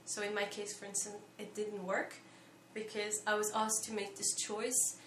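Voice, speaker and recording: A woman in her late twenties from Belgium, answering interview questions in a thoughtful, measured way with frequent pauses. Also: mid-range voice, completely flat delivery, fairly bright tone, far from the mic. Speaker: interviewee, volunteer. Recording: echoey room, faint background noise.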